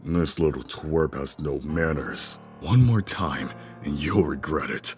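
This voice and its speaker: A man's voice: extremely low pitch, slow, soft background music. A man playing a haughty, short-tempered young swordsman in an audio drama, throwing formal, contemptuous insults. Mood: scornful.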